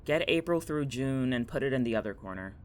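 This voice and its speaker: An actor playing a weary, dry, bossy teenage girl in an audio drama, speaking in a curt deadpan. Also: medium pitch, a little fast, background noise, lightly acted. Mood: matter-of-fact. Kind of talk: naturalistic audio drama acting with monologue.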